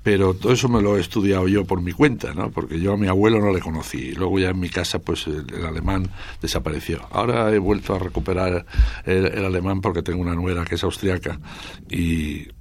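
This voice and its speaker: A man's voice: low voice, steady tone, a little fast, creaky. An older man from Spain, a guest author speaking in a radio interview, thoughtful and hesitant with many restarts.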